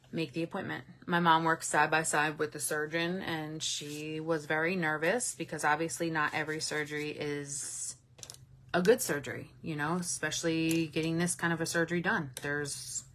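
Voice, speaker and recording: A woman's voice: sits low, fairly bright tone, a little hiss or hum. A woman in her late thirties hosting a casual livestream, talking candidly and reading out viewer comments, with a chatty manner and the odd swear word. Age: 30s. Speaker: livestream host.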